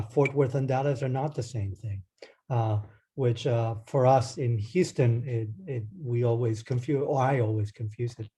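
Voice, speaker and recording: A man from Argentina giving an academic lecture over a video call, relaxed and hesitant, with many ums and restarts. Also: mid-range voice. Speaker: guest lecturer. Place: home or office, speaking into a computer.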